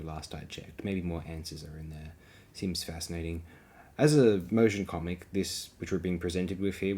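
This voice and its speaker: A man with a low-pitched voice delivers rambling, opinionated commentary as a review host. His phrasing is wordy and bookish, and he often breaks into his own sentences with halting asides and digressions. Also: a little mellow. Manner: halting, self-interrupting asides. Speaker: host of a film review program.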